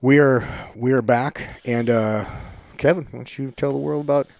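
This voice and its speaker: A man's voice: medium pitch, fairly even intonation, moderate pace, vocal fry, a little mellow. A man hosting a podcast in a laid-back, joking way, swearing casually. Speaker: podcast host.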